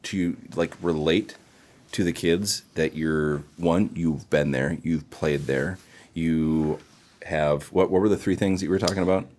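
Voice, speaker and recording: A man's voice: rumbling low, steady tone, a little slow, a little mellow. An American man hosting a casual podcast, talking in an informal, slangy, enthusiastic way. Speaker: podcast host.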